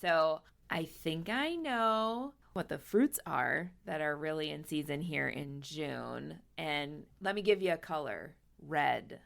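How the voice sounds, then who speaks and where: A Midwestern woman co-hosts a podcast with a low, gravelly voice. She is playful and chatty, with frequent laughs. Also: animated, takes it slowly, few pauses, a bit bright. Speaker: podcast co-host. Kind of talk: casual podcast chat.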